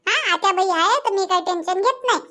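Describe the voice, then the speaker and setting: A woman's voice: extremely high pitch, fast talker, pushed and bright. A woman voicing a stubborn, grumbling young village mother in a cartoon comedy, chattering emphatically with a Vidarbha Marathi accent. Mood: insistent.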